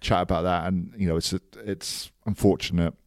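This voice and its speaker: A man's voice: low voice, fairly even intonation, brisk, darkish tone, no echo, clean studio sound. A man co-hosting a casual sports podcast, rambling with frequent ums and getting enthusiastic about rugby. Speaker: podcast co-host.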